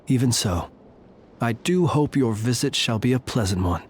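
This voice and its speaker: An actor playing a formal, measured male guardian of a cemetery in a video game. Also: mid-range voice, lively intonation, a little hiss or hum, lightly acted. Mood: calm. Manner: formal, measured, old-fashioned phrasing. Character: courtly male graveyard guardian, calm and formal. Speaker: guardian of the tombs.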